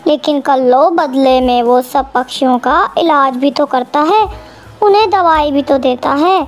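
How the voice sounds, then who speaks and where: A woman's voice: high voice, unhurried. A woman voicing a lazy, pampered husband crow, whiny and defensive, in a children's cartoon. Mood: eager, complaining. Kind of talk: Hindi children's cartoon acting.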